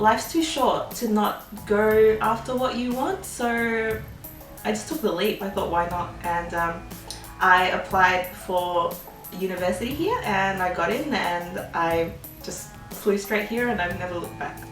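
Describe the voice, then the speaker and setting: A woman's voice: medium pitch, fairly bright tone, reverberant, far from the mic, poor audio. A young woman in her twenties, chatting through a casual Q&A with a bubbly, giggly manner and lots of "um"s and "like"s. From Australia. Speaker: vlogger. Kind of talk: casual Q&A chat.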